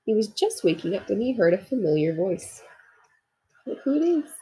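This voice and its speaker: Husky, animated woman reading a storybook. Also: mid-range voice, normal speed, low-quality recording. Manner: expressive, addresses young listeners. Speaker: storybook reader. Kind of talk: children's read-aloud narration with asides.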